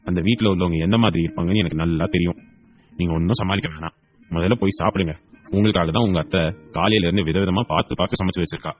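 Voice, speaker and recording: Man acting a gentle middle-aged father. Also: medium pitch, animated, quick pace, pauses often, faint music behind, lightly acted. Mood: hurt, tender. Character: caring, wounded middle-aged father and father-in-law. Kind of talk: Tamil audio story acting.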